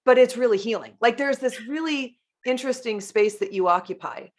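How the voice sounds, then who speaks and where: Woman, podcast host, effusive, long build-up questions, slightly high voice. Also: brisk. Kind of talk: interview conversation.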